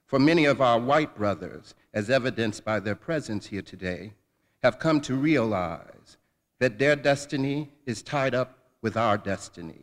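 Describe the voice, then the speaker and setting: A man delivers a formal, dramatic speech reading. His voice sits at a medium pitch and echoes through a large hall. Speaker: guest reader at a commemorative event. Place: large indoor hall at a college event. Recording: reverberant hall, long echo.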